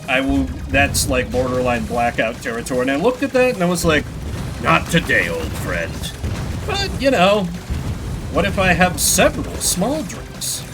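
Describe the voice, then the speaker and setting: A man streams a video game with a lively, fairly high voice that swings widely in pitch. He rambles to his chat, curses freely and pokes fun at himself. Game music plays clearly behind him. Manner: sweary, self-deprecating, rambling. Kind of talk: casual live gaming commentary with chat. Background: video game music and sound effects.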